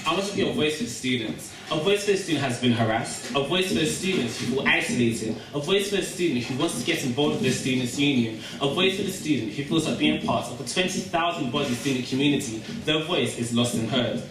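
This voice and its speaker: A man's voice: medium pitch, expressive, fast, hardly any pauses, a crowd in the background. A young man giving a student election campaign speech, recorded from a distance. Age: young adult. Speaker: student union candidate. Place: large echoey room at a public hustings. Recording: roomy, echoey, distant mic, noisy.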